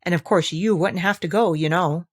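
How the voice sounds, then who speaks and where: A woman's voice: lowish voice, normal speed, no echo, studio quality, lightly acted. Talking gnat for a children's storybook, played by a woman: careless, offhand, secretly mournful. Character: careless, melancholy talking gnat, fond of bad puns. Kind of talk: children's storybook character reading.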